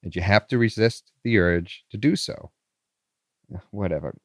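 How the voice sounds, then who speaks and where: A man's voice: on the low side, measured pace, no echo, studio quality, natural voice. A man playing a skeptical internet forum poster in a horror audio drama, casual and dismissive. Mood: casual, curious.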